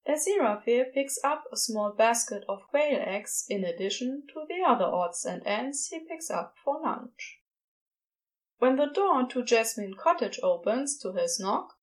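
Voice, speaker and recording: A woman serves as narrator for a fantasy story. Her voice sits fairly high as she reads scripted prose aloud between the lines of dialogue.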